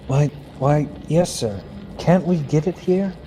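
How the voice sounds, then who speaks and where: A man's voice: a little high, slow pace, warm tone, a little hiss or hum, low-quality recording. A young man playing a restless, eager space adventurer in an old-time radio serial. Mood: eager, puzzled.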